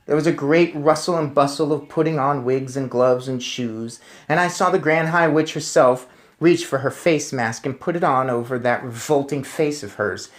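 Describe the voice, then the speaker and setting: A man's voice: mid-range voice, moderate pace. A man reading a story aloud and commenting on it as narrator. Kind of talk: read-aloud narration with casual recap.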